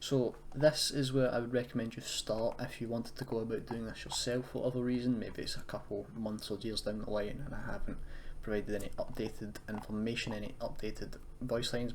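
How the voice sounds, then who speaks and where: A man's voice: lowish voice, flat delivery, brisk, few pauses. A man presenting a casual screen-recorded tutorial, explaining in an unscripted, hesitant way. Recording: noisy home recording, keyboard typing.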